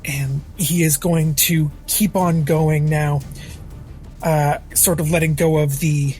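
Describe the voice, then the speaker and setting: A man playing a tabletop role-playing game, improvising his character's actions in the third person in a halting way. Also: a little high, steady tone, dark tone. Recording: background music under the voice.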